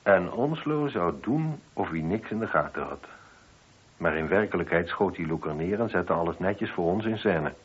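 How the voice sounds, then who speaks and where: Deep-voiced, husky police inspector. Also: male voice, expressive. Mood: explanatory, assured. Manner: measured, formal questioning. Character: composed, probing male police inspector. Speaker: voice actor. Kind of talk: Dutch radio play acting. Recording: dull, muffled old radio recording.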